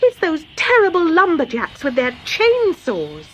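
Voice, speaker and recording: A woman's voice: very high voice, wildly swooping pitch, unhurried, lo-fi sound, faint music behind, pitched above the performer's own voice, heavily acted. A woman voicing a young female pine tree in a storybook, plaintive and theatrical, easily swept up in feeling. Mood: woeful, wailing. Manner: wailing, theatrical delivery.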